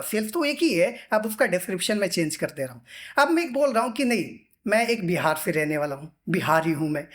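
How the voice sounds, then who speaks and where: A man teaching in an informal, explanatory style, repeating himself and often posing questions that he then answers. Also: high-pitched.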